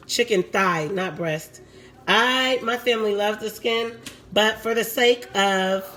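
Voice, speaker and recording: A woman with a raspy voice, hosting a casual live cooking demo from her home kitchen and chatting with her viewers as she works. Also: mid-range voice, a bit bright, slight background noise. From Puerto Rico.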